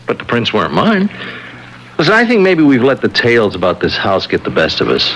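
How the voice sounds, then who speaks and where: A sceptical married man in a 1970s radio mystery. His pitch moves in a lively way as he reasons things out hesitantly, over a faintly noisy old broadcast. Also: a little fast. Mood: baffled, insistent. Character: married male playwright, sceptical, rationalizing husband. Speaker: radio drama actor.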